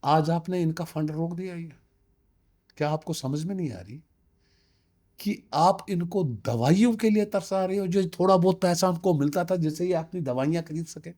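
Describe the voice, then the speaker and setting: High-voiced, insistent older man. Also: moderate pace, dark tone. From Kashmir, India. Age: around 60. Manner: emphatic, insistent, uses rhetorical questions. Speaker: interviewee, community representative. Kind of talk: interview testimony mixing Hindi with English phrases.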